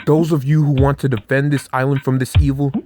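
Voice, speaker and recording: A solemn rebel leader from a cartoon played by a man, indignant and rallying, in a mid-range voice with loud music behind it. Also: mid-range voice, little pitch movement, a little fast, natural voice. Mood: defiant. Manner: earnest, rallying. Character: solemn male village resistance leader, indignant. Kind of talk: amateur fan-dub animation acting.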